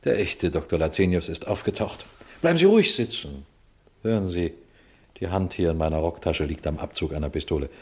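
An actor playing a calm, shrewd police detective in a German radio crime drama, speaking deliberately with dry irony. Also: male voice, low voice, husky. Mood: composed, ironic. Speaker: detective narrating his own case.

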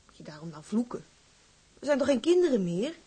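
A woman's voice: fairly high pitch, very animated, fairly quick, warm tone, husky, exaggerated voice. An actress playing a lovestruck, outspoken young woman from a seaside town in a drama, with blunt, insistent, girlishly colloquial speech. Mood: passionate, insistent. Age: young adult. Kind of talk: dramatized dialogue acting.

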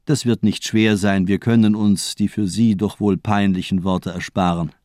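An actor as a sinister, silkily polite doctor. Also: male voice, on the low side, fairly even intonation, normal speed, a little mellow, no room sound, studio quality, close to an everyday voice. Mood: gloating, mocking. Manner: mockingly polite, eloquent, controlled. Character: sinister, cultivated, sadistic male doctor toying with his captive. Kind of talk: German radio crime drama acting.

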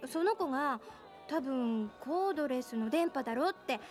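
A flighty, nosy young woman with a very high, girlish voice. Her chatty radio drama delivery swings up and down in pitch, coaxing and gossipy, with music faintly behind her. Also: animated, moderate pace. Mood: excited gossip. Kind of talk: radio drama acting, casual conversational Japanese.

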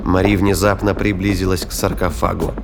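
A man's voice: deep voice, flat delivery, fairly quick, gravelly, over music. A man delivering scripted dramatic narration for an audio drama.

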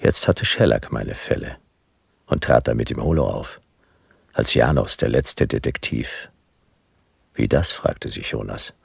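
Gravelly, very deep world-weary detective. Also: male voice, expressive, a little slow, pauses often, no echo, exaggerated voice. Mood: dry, laconic. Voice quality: gravelly, husky. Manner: laconic, dry. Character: world-weary male private detective of the future. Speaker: narrator and lead character. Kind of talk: scripted noir radio drama narration.

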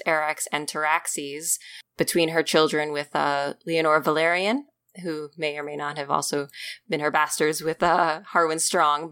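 Chatty woman, slightly low voice. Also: pushed and bright, clear, resonant tone. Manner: chatty, laughs often, trails off mid-sentence. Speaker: podcast co-host. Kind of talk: casual book discussion.

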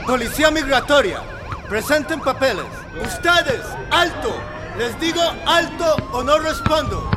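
Guy acting bossy angry migration cop, high voice, super noisy street w sirens and crowd. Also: huge pitch swings, unhurried, exaggerated voice. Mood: menacing. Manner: commanding, threatening. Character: aggressive immigration police officer barking orders. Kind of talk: radio drama acting.

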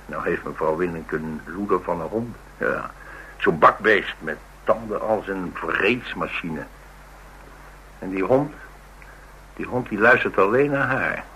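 A rugged, blunt man speaks in a low, forceful voice. He tells his story with dry humour in a colloquial radio play manner, over some background noise. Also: lively intonation, slow pace, long pauses, heavily acted, rough recording. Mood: musing, confiding. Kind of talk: Dutch radio drama dialogue, conversational storytelling.

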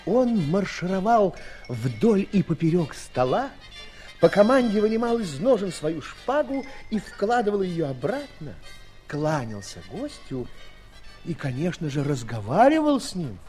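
A man narrating a children's audio play as an expressive storyteller. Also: high-pitched, measured pace, soft background music.

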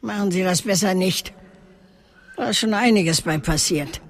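An older actress playing a knowing, eccentric cave dweller, matter-of-fact and reminiscing, in a radio drama. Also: female voice, mid-range voice, normal speed, close to an everyday voice. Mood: knowing, brisk. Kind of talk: naturalistic radio drama acting.

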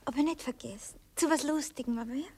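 A woman's voice: sits high, fast talker, darkish tone, breathy edge. An actress playing a naive, bashful young working-class girl with a Viennese accent, artless and coy, in a 1960s radio play. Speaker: radio drama actor.

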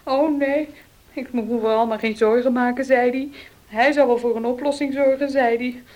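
An actress in a Dutch radio play playing a young unmarried daughter, meek yet defiant and troubled. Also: female voice, fairly high pitch, fairly even intonation, moderate pace, darkish tone, close to an everyday voice. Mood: tearful despair.

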